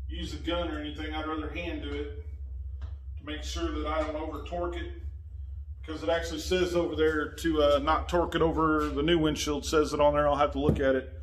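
A man's voice: on the high side, little pitch movement, a little fast, slight background noise, poor audio. Plain-spoken man talking in his garage. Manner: plain-spoken, talks while working with his hands, drifts from the mic. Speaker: motorcycle vlogger. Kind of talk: casual how-to narration while working. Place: garage with a motorcycle, indoors. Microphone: phone camera.

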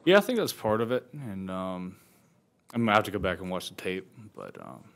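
A man's voice: sits low, big pitch swings, a little fast, slight background noise. A man in his twenties, a college football quarterback, answering questions at a postgame press conference. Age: 20s. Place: press conference table indoors.

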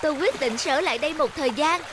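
A woman voicing a vain, lazy young swan in an animated fairy tale, exclamatory and childlike. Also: sits high, racing, background noise, natural voice. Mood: smug. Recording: loud music behind the voice.

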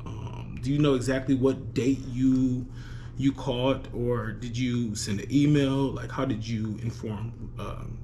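A man making a prank phone call as an official, speaking politely and formally with hesitant pauses. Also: mid-range voice, steady tone, warm tone, a little hiss or hum. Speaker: prank caller.

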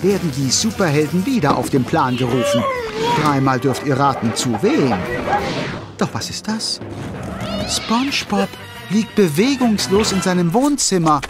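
An actor playing a playful, wry narrator of a children's cartoon audio drama. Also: male voice, a little high, lively intonation, a little slow, over loud music. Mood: wry, suspenseful. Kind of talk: animated scripted storytelling for a children's audio drama.

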